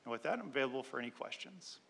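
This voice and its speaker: A man in his thirties presenting an item to a city council in a relaxed, plain way, with many fillers, at a desk microphone in a large chamber. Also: medium pitch, very fast, gravelly. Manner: relaxed, filler-heavy explaining. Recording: long reverb of a council chamber.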